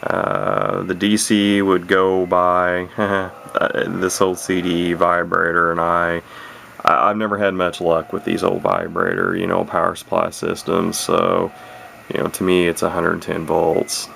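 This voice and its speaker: Low, gravelly man rambling casually. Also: monotone, measured pace, fairly bright tone. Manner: rambling, hesitant, self-deprecating. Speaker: vintage radio hobbyist presenting his own video. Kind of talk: casual unscripted demonstration. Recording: clearly noisy home camera recording. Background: clear background noise.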